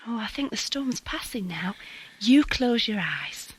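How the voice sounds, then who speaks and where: A woman playing a gentle motherly carer in an amateur audio drama, hushed, breathy and comforting. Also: a little high, lively intonation, measured pace, low-quality recording. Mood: soothing.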